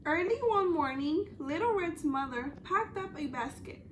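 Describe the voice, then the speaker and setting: A woman student teacher reading a storybook aloud to young children, deliberate and pausing to question her listeners. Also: high-pitched, lively intonation, fairly bright tone, slight background noise.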